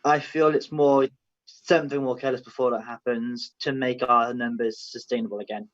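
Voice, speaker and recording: A man's voice: mid-range voice, little pitch movement, normal speed. A young man on a panel in an online discussion, thinking aloud tentatively and often starting with "I feel". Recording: dull lo-fi audio.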